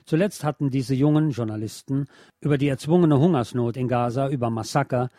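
A man's voice: medium pitch, fairly quick, warm tone, no room sound, studio quality. A man reading scripted political news and commentary as a radio presenter.

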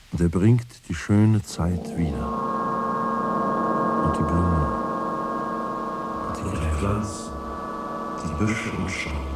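An actor playing a dreamy reciter of spring verse in a radio drama, speaking in halting, meditative fragments over music. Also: male voice, sits low, heavy background noise. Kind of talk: slow poetic recitation in an experimental radio drama. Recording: loud music bed, roomy echo at times. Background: loud music.

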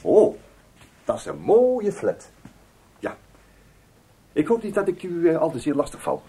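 An actor playing a courteous, quietly sly government investigator in a radio play, with a husky voice and a hesitant, musing way of questioning. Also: male voice, on the high side, wildly swooping pitch, measured pace, heavily acted. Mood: courteous, probing. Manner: hesitant, polite, musing, repeats himself. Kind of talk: Dutch radio drama acting.

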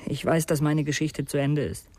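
An actor playing a guilt-ridden, frightened young man, confessing haltingly and with resignation in a radio crime drama. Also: on the high side, flat delivery, darkish tone, dry recording, natural voice. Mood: resigned, fearful.

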